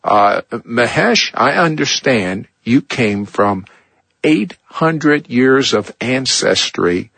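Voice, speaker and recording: A man's voice: medium pitch, creaky, fairly bright tone, slightly rough, no echo. A middle-aged man hosting a talk show, asking earnest, leading questions that retell his guest's story. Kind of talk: interviewing a guest.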